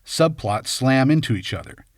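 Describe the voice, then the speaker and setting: A man's voice: medium pitch, very animated, normal speed, no echo, studio quality. A man reading scripted film commentary as host, in a wry, tongue-in-cheek way. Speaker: video essay host.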